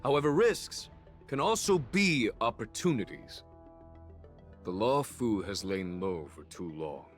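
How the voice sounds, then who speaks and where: An actor playing a calm, dignified male general in a video game, calculating and a little weary, with composed formal speech. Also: fairly low pitch, measured pace. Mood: resolute. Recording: game dialogue over background music.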